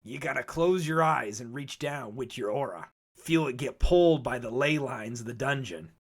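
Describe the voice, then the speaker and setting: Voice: medium pitch, normal speed, close to an everyday voice. A voice actor playing a brash, cynical veteran Pokemon explorer in a comic dub, talking in a cocky, slangy, needling way. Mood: impatient mentoring. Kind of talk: animated comic dub acting.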